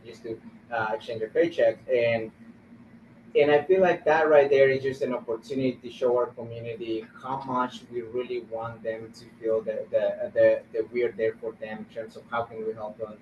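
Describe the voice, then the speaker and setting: A man gives an informal webinar talk as an organizer. He speaks haltingly, searching for his words. The audio is roomy, a little dull and distant, with faint noise behind him. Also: medium pitch, flat delivery. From Guatemala. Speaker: community organizer on a panel.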